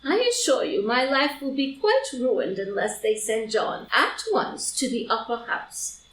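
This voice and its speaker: A woman's voice: a little high, lively intonation, a little slow, a bit bright. A woman playing an elderly, genteel society lady who rambles confidentially and is easily scandalised, in a live reading of a period comedy. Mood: fussily indignant. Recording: roomy, distant mic, faint noise.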